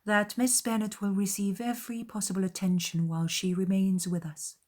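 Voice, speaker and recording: A woman's voice: medium pitch, flat delivery, normal speed, a little mellow, pitched above the performer's own voice, natural voice. A cool, formal young society lady, voiced by a female reader. She is coldly polite, in the style of a dramatized period audiobook. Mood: cold civility.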